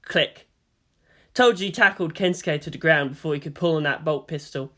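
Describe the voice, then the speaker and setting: A man reading a story as narrator in a dramatic, expressive scripted style. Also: a little high, normal speed. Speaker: narrator of fan fiction.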